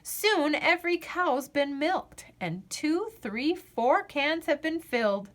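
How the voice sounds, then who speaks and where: A woman reading a children's picture book aloud as a storyteller, with expressive, deliberate phrasing and drawn-out refrains. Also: high-pitched.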